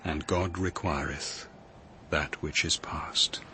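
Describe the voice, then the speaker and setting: An actor playing a grave, reflective detective, narrating in a measured, literary way in a radio drama. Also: male voice, extremely low pitch, fairly even intonation, sharp tone, slight background noise, heavily acted. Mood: solemn. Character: grave, reflective male police detective recounting his evening.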